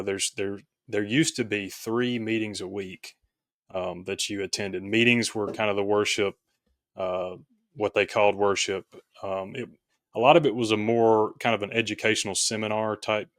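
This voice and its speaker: A man from the United States speaking as a podcast guest, explaining things in a conversational, halting, reflective way. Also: on the low side, flat delivery, dry recording, studio quality. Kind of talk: conversational interview.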